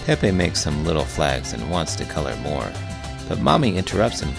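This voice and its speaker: A man's voice: extremely low pitch, very animated, normal speed, vocal fry, music in the background. A man hosting a children's show, reading a picture book aloud expressively with big emotions. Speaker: children's book reader and host. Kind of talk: expressive storybook read-aloud.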